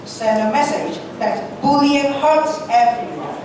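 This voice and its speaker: A woman's voice: high-pitched, slow. A woman playing an eager young schoolboy in a readers' theatre piece, reading from a script. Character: eager young schoolboy helping a friend's plan. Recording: very echoey room, distant mic, noisy.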